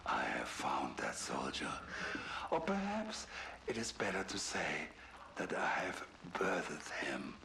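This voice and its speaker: Slow, grandiose scientist villain. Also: male voice, extremely low pitch, wildly swooping pitch, sharp tone, a little hiss or hum, full character voice. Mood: grandiose, sinister pride. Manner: theatrical, deliberate, dramatic pauses. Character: proud, obsessed male Nazi German scientist, grandiose and chilling. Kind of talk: video game audio-log monologue.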